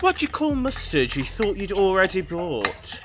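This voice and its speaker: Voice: medium pitch, very animated, moderate pace. A narrator of unclear sex dropping wry, mock-dramatic comic asides in a scripted style.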